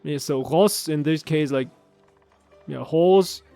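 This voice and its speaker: Higher-voiced man. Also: slow, gravelly, warm tone, no room sound, soft background music. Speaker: commentator explaining game voice lines. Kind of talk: casual chat, translating and commenting.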